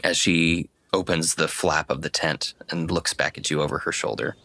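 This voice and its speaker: Deep-voiced, forceful man narrating. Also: fairly even intonation, normal speed, vocal fry, dry recording. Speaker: game master. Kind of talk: narrating a tabletop roleplaying game.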